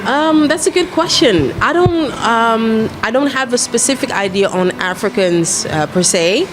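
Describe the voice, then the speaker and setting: A young woman from the Netherlands giving measured, explanatory answers as a guest in a casual discussion. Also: a little high, expressive, over music. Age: young adult. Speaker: guest, singer and presenter. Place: glass-fronted cafe, indoors at a table. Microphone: shared handheld interview microphone. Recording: clear background noise of busy venue.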